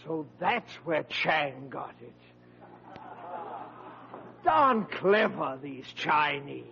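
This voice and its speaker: An actor playing a pompous freeloading uncle who poses as a scholar, with grandiose, formal, condescending delivery in a 1940s radio sitcom. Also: male voice, fairly high pitch, big pitch swings, extremely slow, gravelly, bright, forceful tone, heavily acted. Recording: muffled old radio broadcast with hum.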